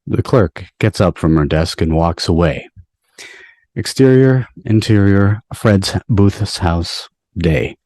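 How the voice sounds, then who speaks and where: Deep-voiced man reading stage directions. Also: slow pace, darkish tone, no echo. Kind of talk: scripted narration at a table read. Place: home, over a video call. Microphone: video call microphone.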